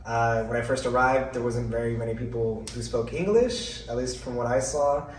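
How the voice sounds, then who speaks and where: A man's voice: mid-range voice, moderate pace. Chatty man, roomy and distant. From California, USA. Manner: relaxed, chatty, many "like" and "you know" fillers. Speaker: guest. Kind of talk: casual storytelling. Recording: roomy, distant mic, faint noise.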